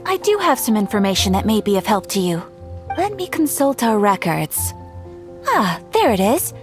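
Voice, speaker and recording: A woman's voice: medium pitch, big pitch swings, moderate pace, faint music behind, natural voice. A woman voicing a polite young guild receptionist who speaks in a courteous, formal way. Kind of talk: game voice acting.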